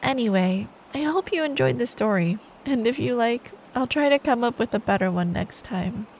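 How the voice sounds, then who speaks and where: A woman's voice: fairly high pitch, animated, moderate pace, a little mellow, slight background noise, low-quality recording. A woman doing ASMR bedtime storytelling as a girlfriend, affectionate, soothing and playful. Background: rain ambience.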